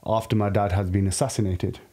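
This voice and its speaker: A man in his early thirties from Pakistan tells his own story as a podcast guest. His voice is lowish and dark, very relaxed in tone, and he talks in a candid, informal, reflective way. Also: brisk. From Lahore, Pakistan. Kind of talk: casual personal storytelling. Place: podcast studio. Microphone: studio podcast microphone.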